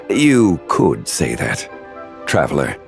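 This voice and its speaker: A learned, courteous gentleman for a video game. The voice is low with a creaky edge, measured and formal. Soft music sits faintly behind it. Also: very animated, heavily acted. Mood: calm, courteous. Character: dignified, learned gentleman connoisseur, calm and courteous.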